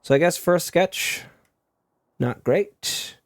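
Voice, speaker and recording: A man giving casual, self-deprecating commentary as a video creator while drawing, in a low-key way. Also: slow pace.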